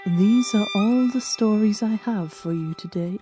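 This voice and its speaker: A woman's voice: medium pitch, unhurried, over music. A woman reading scripted stories aloud as a narrator and host. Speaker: host and narrator of a fan fiction reading show.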